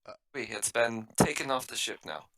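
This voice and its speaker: A man's voice: medium pitch, big pitch swings, moderate pace, a bit bright, poor audio. A man playing a blunt, sardonic, mercenary starship captain in a tabletop sci-fi game, with a dry, skeptical manner. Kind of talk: improvised sci-fi roleplay.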